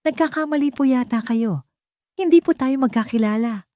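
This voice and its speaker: A woman's voice: a little high, normal speed, mellow, no room sound, clean studio sound, voice pushed up, lightly acted. A woman voicing a tired young cleaning woman in an audio drama, speaking politely and hesitantly to a stranger. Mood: polite, unsure. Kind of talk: audiobook character voice.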